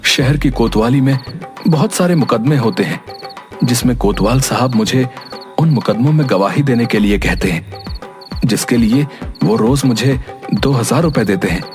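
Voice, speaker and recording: A man playing a shrewd, soft-spoken village husband who explains himself earnestly in a cartoon moral story. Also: medium pitch, lively intonation, normal speed, faint music behind, close to an everyday voice. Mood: earnest, persuasive. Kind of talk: animated Hindi moral story acting.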